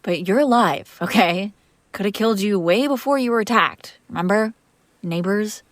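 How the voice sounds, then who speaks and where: A woman's voice: mid-range voice, moderate pace, dry recording, lightly acted. A woman playing a female vampire master, an exasperated but protective caretaker, in a conversational, sardonic audio roleplay. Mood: pleading, reassuring. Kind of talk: intimate audio roleplay acting, one side of a conversation.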